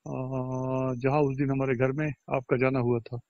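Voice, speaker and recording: A man's voice: mid-range voice, level tone, a little slow, no echo. A man chatting casually and privately on a recorded phone call, often in short questions and replies.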